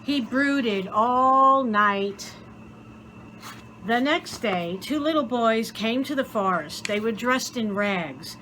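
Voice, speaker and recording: A woman reading a children's story aloud in an expressive, theatrical way, with deliberate dramatic pauses. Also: fairly high pitch, a little hiss or hum, poor audio. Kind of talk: expressive scripted story narration.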